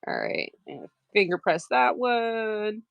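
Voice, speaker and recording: A woman's voice: mid-range voice, huge pitch swings, slow pace, vocal fry, no room sound, dull audio. Chatty Maine woman sewing on a live stream. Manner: chatty, laughs often, self-mocking asides; talks while working, moving around the table. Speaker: quilter streaming live sales.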